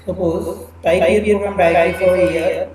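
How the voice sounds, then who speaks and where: A man's voice: sits high, fairly even intonation, a little mellow. Man lecturing. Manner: halting, repeats "that is a", explains step by step. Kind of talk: recorded programming lecture. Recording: echoey room with background noise.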